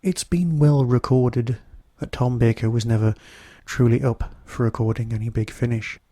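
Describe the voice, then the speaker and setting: Male podcast reviewer, solo. Hoarse and husky with a throat infection, dark-toned delivery, dry sound. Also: medium pitch, steady tone, normal speed, no echo. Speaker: podcast host. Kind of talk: solo review talk to listeners.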